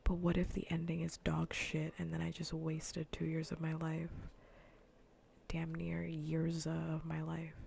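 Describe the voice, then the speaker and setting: A woman streamer chatting casually in a soft, breathy whisper on an ASMR gaming livestream, rambling and repeating phrases, close on the microphone. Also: sits low, monotone, measured pace, slight background noise.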